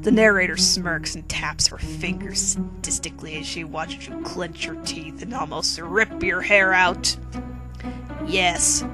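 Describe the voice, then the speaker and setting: A woman reading a comic second-person story theatrically, with sassy, bossy asides to the listener. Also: on the high side. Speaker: narrator reading own humorous fan fiction. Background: quirky music bed.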